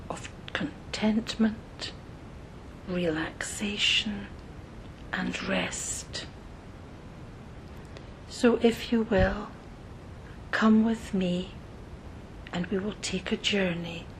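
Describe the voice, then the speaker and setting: Woman reading a guided visualisation, super slow n soothing, medium pitch, bit of rumbly noise behind her. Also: low-quality recording. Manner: soothing, measured, pausing between short phrases. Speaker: meditation guide. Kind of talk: scripted guided meditation narration. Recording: noticeable low rumbling background noise.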